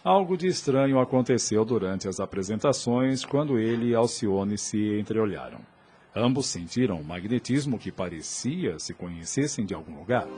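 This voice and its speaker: A man's voice: on the low side, animated, normal speed. A man narrating a scripted audio drama in a formal, literary way. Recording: clear background noise.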